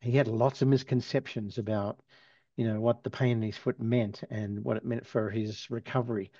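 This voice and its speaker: A relaxed Australian man in his sixties tells stories as an interview guest, his accent partly worn toward American. His voice sounds warm and mellow. The recording is dry and a little dull, as over a lo-fi line. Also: medium pitch, moderate pace, dry recording. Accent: Australian, softened toward American. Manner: relaxed, chuckles while telling stories. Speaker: physical therapy professor, guest. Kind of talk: storytelling interview answers.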